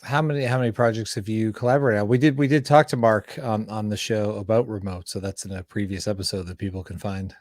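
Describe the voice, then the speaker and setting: A man from Canada hosting a casual interview, speaking haltingly and repeating words as he goes. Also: on the low side, steady tone, a little mellow, no room sound, studio quality. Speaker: show host and interviewer.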